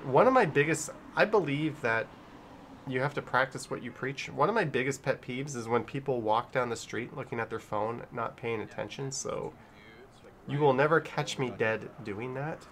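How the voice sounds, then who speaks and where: Casual male livestreamer with faint background noise. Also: medium pitch. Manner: chatty, informal, reads out viewer messages. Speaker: livestream host. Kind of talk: casual live commentary and chat reading.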